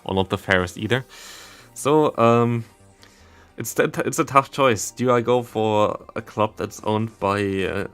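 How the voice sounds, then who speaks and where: A German man with a fairly low voice chats casually as he livestreams a game. His English carries a German accent, and he rambles in a self-deprecating way. Faint music plays behind him. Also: a little slow, a bit bright, smooth. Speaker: livestreamer and content creator. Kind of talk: casual live game commentary and chat. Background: background game music.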